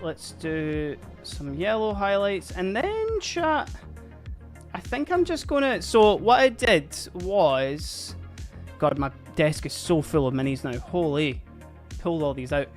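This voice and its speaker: A live-streaming miniature painter chatting casually and giggling a lot while painting, a little hoarse from laughing. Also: mid-range voice, sing-song, slow, soft background music. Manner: chatty, giggly, rambling, addresses chat.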